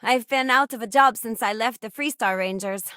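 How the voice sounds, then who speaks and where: A woman's voice: fairly high pitch, normal speed, sharp tone, clean tone, no room sound, studio quality. Earnest, idealistic spacefaring ex-deputy woman. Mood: earnest, reflective. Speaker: video game companion character. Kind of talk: acted video game voice lines.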